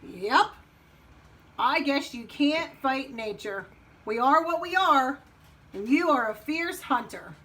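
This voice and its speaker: A woman acts out a storybook wolf, high and swooping in voice. The wolf is hungry and boastful, yet offers knowing, friendly advice with drawn-out emphasis. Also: lively intonation, sharp tone, heavily acted, rough recording. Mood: knowing, emphatic.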